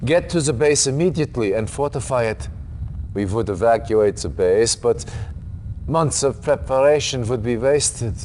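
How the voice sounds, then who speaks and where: An actor playing a grave, authoritative military commander in a video game cutscene, speaking in a stern, formal, deliberate way. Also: male voice, medium pitch, animated, darkish tone, slight background noise, lightly acted. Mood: commanding.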